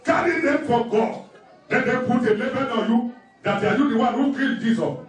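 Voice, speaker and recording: A man's voice: very high voice, moderate pace, soft background music. A man preaching a sermon as a pastor, emphatic and repetitive, exhorting his listeners. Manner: emphatic, repetitive, numbered exhortations with scripture quotes. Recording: very echoey room, distant microphone.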